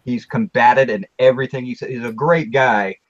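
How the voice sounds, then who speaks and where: A man's voice: medium pitch, fairly even intonation, moderate pace. A man chatting casually on a group show, rambling and restarting his sentences in a plain-spoken way. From Midwest. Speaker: show host. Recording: dull lo-fi sound.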